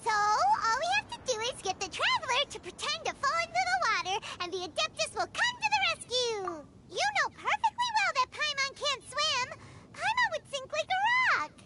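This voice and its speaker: Childlike game sidekick fairy, excitable, squeaky and sky-high, lively intonation, music behind. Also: female voice, moderate pace. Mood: eager, then indignant urging. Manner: talks about self in third person, exclamatory. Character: tiny excitable fairy-like companion girl, childlike, whiny, eager. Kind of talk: video game character acting.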